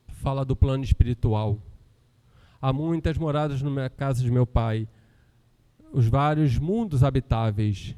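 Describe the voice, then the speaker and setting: A man's voice: mid-range voice, mellow, clean tone. A man from Rio de giving a conversational spiritist lecture and often asking the audience rhetorical questions. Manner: conversational, asks rhetorical questions with tag "não é?". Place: lecture hall of a spiritist center. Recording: long hall reverb.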